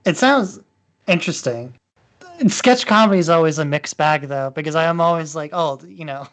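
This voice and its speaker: A man's voice: a little high, a bit bright. A man co-hosting a podcast, chatting casually about movies. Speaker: podcast co-host.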